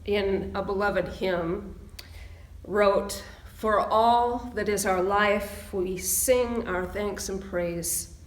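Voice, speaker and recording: A woman leads a church service as its minister, reading in a slow, measured way. Her voice has an ordinary middle pitch, and the sound is roomy and echoing.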